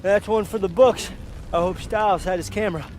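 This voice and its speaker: An actor playing an excitable, awestruck soldier in combat scenes of a war video game. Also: male voice, high-pitched, fairly quick, a little hiss or hum, close to an everyday voice. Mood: shaken excitement. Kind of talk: cinematic video game acting.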